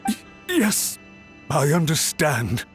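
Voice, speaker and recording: An actor voicing a cowed, deferential clan servant in a video game, submissive and apologetic in manner. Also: male voice, fairly high pitch, huge pitch swings, slow, heavily acted. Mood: nervous, submissive. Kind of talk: scripted video game voice acting. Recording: music under the voice.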